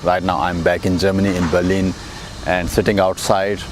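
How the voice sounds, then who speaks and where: A man with an Indian accent rambles through a casual outdoor vlog, his fairly low voice full of fillers and restarts. Faint street traffic hums behind him. He sits outside on a windy day. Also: little pitch movement, moderate pace. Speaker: dating coach vlogger.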